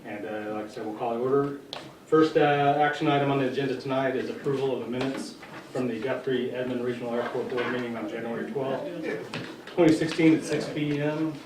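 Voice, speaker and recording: A man's voice: medium pitch, fairly even intonation, brisk, crowd noise. A man chairing a public board meeting in an easygoing way, with light jokes and lots of "uh". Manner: easygoing, jokes a little, says "uh" a lot. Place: meeting room. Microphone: distant room mic. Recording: echoey room from far off, noisy.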